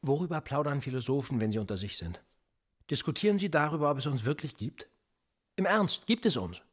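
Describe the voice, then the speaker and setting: An actor playing a police interrogator in a radio play, sardonic and menacing, needling with theatrical rhetorical questions. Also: male voice, medium pitch, very animated, fairly quick, breathy edge. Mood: mocking, probing. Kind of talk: naturalistic German radio play acting.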